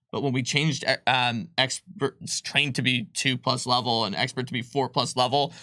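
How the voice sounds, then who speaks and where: A man's voice: mid-range voice, fairly bright tone, no echo, studio quality. A man co-hosting a casual livestream, explaining game rules with many fillers and restarts. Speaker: game designer co-host. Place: studio.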